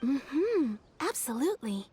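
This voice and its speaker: A woman's voice: high voice, big pitch swings, takes it slowly, warm tone, a little hiss or hum, exaggerated voice. A woman voicing a polite, eager female kimono shopkeeper in a video game, speaking deferentially. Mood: helpful, pleased.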